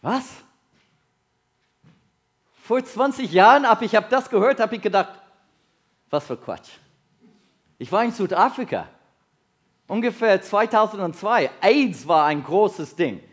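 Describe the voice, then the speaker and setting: South African man preaching in halting German. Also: sits high, very animated, breathy edge. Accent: South African English. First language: English. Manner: halting non-native German with slips, asks the audience questions. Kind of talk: sermon to a congregation.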